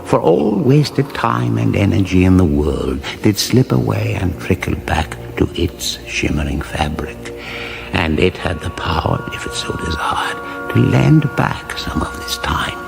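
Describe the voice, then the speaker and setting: Deep, gravelly, solemn epic storyteller. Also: male voice, big pitch swings, a little slow, over music, heavily acted. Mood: grave, ominous. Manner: solemn, archaic, dramatic. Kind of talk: scripted narration in radio comedy fantasy.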